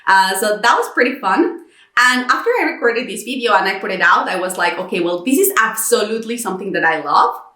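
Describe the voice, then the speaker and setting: A woman's voice: mid-range voice, fairly quick. A thirty-year-old woman from Mexico telling her personal story in a chatty vlog, acting out her own thoughts as she goes. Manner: animated retelling with quoted inner dialogue. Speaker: YouTube content creator. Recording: roomy echo.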